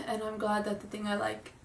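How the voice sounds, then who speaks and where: A woman's voice: medium pitch, monotone, moderate pace, slight background noise. A young woman who sounds stuffy from a cold, chatting nervously and rambling in a casual vlog. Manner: nervous, rambling, apologetic, giggly. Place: room at home. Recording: roomy, distant home camera audio.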